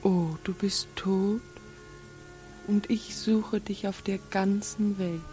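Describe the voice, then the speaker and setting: A loving young woman, played by an actress in a 1940s radio play. She speaks extremely slowly, wistful and sorrowful, over faint music. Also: mid-range voice, steady tone, dark tone, lightly acted. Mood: tender, longing. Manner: lingering, wistful. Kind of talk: 1940s radio drama acting.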